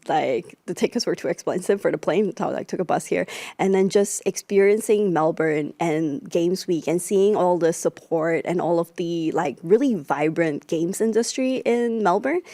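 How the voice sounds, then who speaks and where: A woman's voice: fairly low pitch, a little mellow, no echo, clean studio sound. A young woman from the Philippines, a startup co-founder, answering interview questions casually with lots of "like" fillers. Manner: chatty, frequent "like" fillers.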